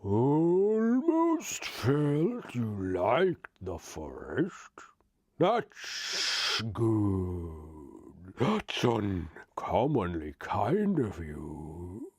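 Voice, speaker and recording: An ancient, solemn tree giant who drags out every word in a low, breathy half-whisper, as a man reads him from a storybook. The voice sounds a little distant from the mic and dry. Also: wildly swooping pitch, no echo, full character voice. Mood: wary. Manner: slow, drawn-out, half-whispered.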